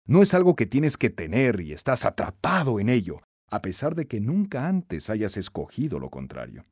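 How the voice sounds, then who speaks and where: A man narrating a scripted self-help audiobook in an emphatic, instructive, expressive way. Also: mid-range voice, moderate pace, breathy edge, no echo, clean studio sound.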